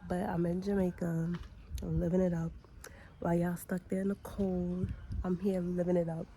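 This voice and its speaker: A young woman talks into her phone camera in a slangy, chatty vlog, her voice raspy and a bit gravelly from a cold. She sits low in pitch, lets her sentences trail into fillers, and speaks over a faint hiss of background noise. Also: flat delivery, moderate pace, warm tone. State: sick with a cold, runny nose. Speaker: vlogger. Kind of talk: casual vlog chat. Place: outdoors on a hot morning with a view.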